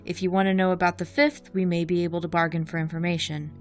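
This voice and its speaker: A woman's voice: lowish voice, little pitch movement, fast, lightly acted. A woman playing a cunning, mocking imprisoned cult leader in an audio drama, speaking precisely and theatrically. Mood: mocking, bargaining. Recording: music behind the voice.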